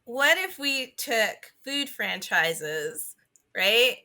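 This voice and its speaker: A woman's voice: a little high, lively intonation, very slow, sharp tone. A woman co-hosting a podcast in casual chat, thinking aloud informally. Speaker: podcast co-host.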